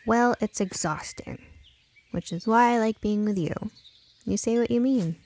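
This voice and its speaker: A woman voicing a frustrated, stubborn young female protagonist in an audiobook, gruff and huffy, stressing her words. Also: fairly high pitch, measured pace, darkish tone, voice pushed up. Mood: exasperated. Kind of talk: audiobook character dialogue.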